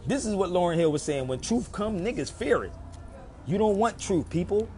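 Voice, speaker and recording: A man's voice: high-pitched, lively intonation, normal speed, mellow. A man hosting a livestream, talking to his viewers in an emphatic, preachy way and repeating phrases for effect. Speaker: live stream host.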